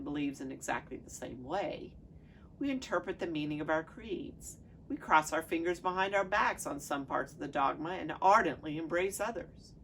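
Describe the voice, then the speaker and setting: A woman pastor reading aloud from a devotional book in a measured, deliberate way. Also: low-pitched, gravelly, a bit bright, a little hiss or hum.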